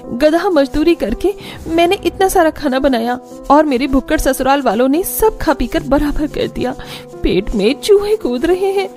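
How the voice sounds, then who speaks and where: A woman's voice: high-pitched, lively intonation, moderate pace, a little mellow, faint music behind, pitched above the performer's own voice. A woman voicing an irritable, overworked young daughter-in-law in a cartoon family drama, grumbling sarcastically in exasperation. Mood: exasperated complaining. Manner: exasperated muttering complaints.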